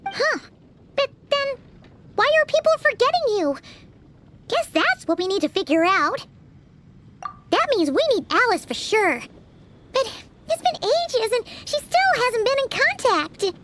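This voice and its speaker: Game fairy companion: squeaky, very high, lively. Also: female voice, a little slow, pauses often, slight background noise, full character voice. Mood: curious. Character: small floating fairy companion girl, chirpy. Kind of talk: video game voice acting.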